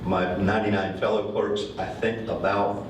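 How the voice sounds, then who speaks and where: A middle-aged man, a county court clerk, answering questions at a public forum in a measured, explanatory way. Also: lowish voice, flat delivery. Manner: measured, explanatory, with occasional fillers. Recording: very echoey hall, voice from far off, noisy.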